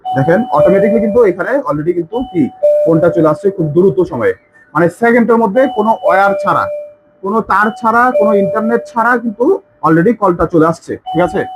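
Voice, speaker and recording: A man's voice: high-pitched, fast talker, over music, low-quality recording. A man with a Bangladeshi accent giving an informal sales demonstration, with eager, repetitive patter as a shop presenter.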